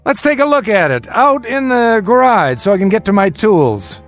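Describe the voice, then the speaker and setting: A guarded American father plotting an escape in a radio drama. His pitch moves freely as he gives urgent, commanding instructions. Faint music plays behind the dialogue. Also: sing-song, normal speed. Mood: forced cheer. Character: tense secretive family father plotting an escape.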